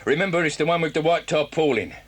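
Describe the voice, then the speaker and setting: An actor playing a brusque, scheming male gang boss in an audio drama, gruff and commanding. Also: a little high, fast talker, background noise, close to an everyday voice. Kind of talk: 1980s audio drama acting.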